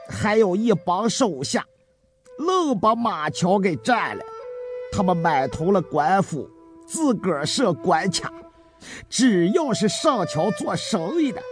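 Man playing a slow, whining gangster underling. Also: high voice. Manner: tearful, pleading, deferential, drawn-out. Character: whining, beaten-up male underling begging his boss. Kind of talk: dramatised audiobook character acting.

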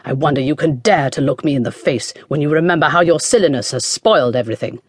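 A storyteller voicing a bad-tempered, scornful sorcerer king who draws out his words slowly. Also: mid-range voice, breathy edge, dry recording, clean studio sound, natural voice. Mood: cold.

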